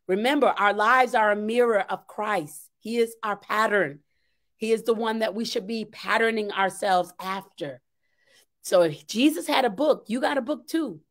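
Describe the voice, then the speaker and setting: A woman's voice: mid-range voice, moderate pace. A middle-aged woman preacher delivering an impassioned sermon, exhorting emphatically and repeating phrases for weight, recorded in a room at home. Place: small home room, indoors.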